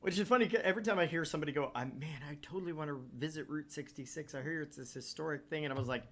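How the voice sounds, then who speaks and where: A man's voice: fairly high pitch, racing, a bit bright. A man from Texas hosting a gaming livestream with casual, joking banter, sometimes stumbling over words. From Texas, United States. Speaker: gaming channel host.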